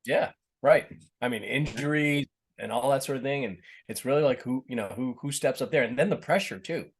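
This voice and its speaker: A man's voice: mid-range voice, brisk, husky. A middle-aged man from Boston with a slight Boston accent, a guest chatting casually in an interview and often restarting his sentences. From Boston, USA. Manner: rambling, many restarts and fillers. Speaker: actor, podcast guest.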